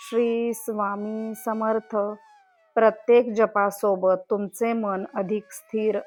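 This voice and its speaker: Slow, higher-voiced woman guiding meditation. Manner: calm, soothing, measured delivery with long pauses. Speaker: meditation guide. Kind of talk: guided meditation narration in a devotional tone. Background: music.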